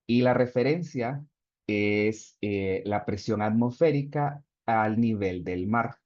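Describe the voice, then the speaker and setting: A man's voice: on the low side, slow pace, darkish tone, dry recording, dull audio. A man teaching an online class, explaining step by step and reading chat answers aloud. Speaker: instructor. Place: video call.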